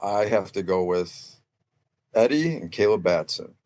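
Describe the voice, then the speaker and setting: A man with a low-pitched voice chats as a guest on a sports podcast. He speaks casually, as a competitor talking about his game. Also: animated, unhurried, darkish tone. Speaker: podcast guest, cornhole player.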